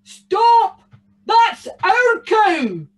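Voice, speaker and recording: Woman putting on a loud, high, indignant giant. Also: huge pitch swings, drawn-out words, lo-fi sound, heavily acted. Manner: loud, commanding. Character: angry booming giants guarding a stolen cow.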